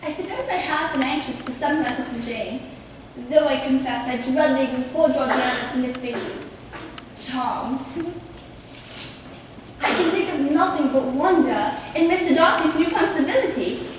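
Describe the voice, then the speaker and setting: A woman acting a spirited, polite young gentlewoman in an amateur stage play, with expressive, earnest delivery. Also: high voice, normal speed, natural voice. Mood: composed. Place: theatre stage, recorded from a distance. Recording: echoey hall, distant mic, faint noise.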